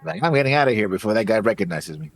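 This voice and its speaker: A man's voice: lowish voice, very animated, racing. A man thinking aloud in casual, musing commentary as he watches a show. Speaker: reaction show host.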